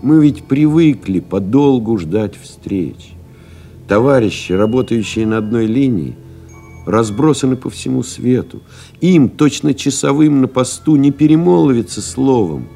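An actor playing a pensive airmail pilot who recalls lost comrades in a literary audio drama, solemn and reflective, with measured dramatic pauses. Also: male voice, mid-range voice, lively intonation, creaky, warm tone, faint music behind. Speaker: actor reading a literary memoir as narrator.

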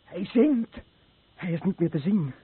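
A man's voice: sits high, lively intonation, a little slow, husky, full character voice. An actor playing an exhausted, agitated fisherman in pain and desperation in a radio play. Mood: desperate. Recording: muffled, noisy old radio play recording.

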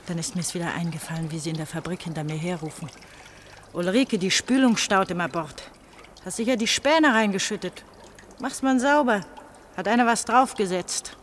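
A woman's voice: mid-range voice, close to an everyday voice. A woman playing an anxious, trusting young pastor's daughter in a radio drama, speaking in a confiding, troubled way. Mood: nervous, confiding. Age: young adult. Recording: trickling water noise behind the voice. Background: trickling, dribbling water.

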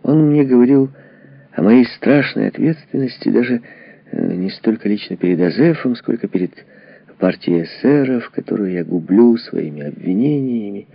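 Man, audiobook narration, husky and creaky, animated intonation, deliberate dramatic pauses, dry close lo-fi sound. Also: on the low side, no echo. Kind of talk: dramatic narration of a historical memoir.

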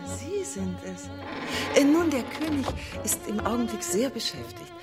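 A woman's voice: a little high, big pitch swings, a little slow, over music. Lilting, coquettish, chattering queen. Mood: coy, giddy. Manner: hesitant, flirtatious chatter. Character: coquettish, chattering, scatterbrained queen in her palace. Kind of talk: German radio play acting.

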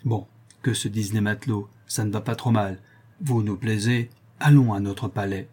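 A man's voice: lowish voice, steady tone, normal speed, a little mellow, slightly rough. A man voicing an eager, plain-spoken sailor who tells a yarn in colloquial, exclamatory storybook style. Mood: relishing the yarn. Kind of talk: acted audiobook character dialogue.

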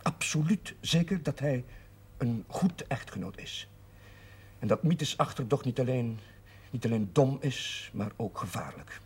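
Devout young priest in a period TV drama: formal, earnest, slightly husky mid-range voice. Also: male voice, medium pitch, animated, measured pace. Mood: defensive, insistent. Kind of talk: 1970s Dutch television drama acting.

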